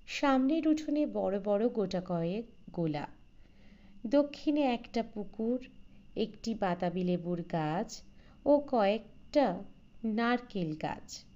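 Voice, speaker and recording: A woman reading a literary story aloud in a measured, expressive storytelling manner. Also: medium pitch, lo-fi sound. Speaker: audiobook narrator. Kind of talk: scripted literary story narration.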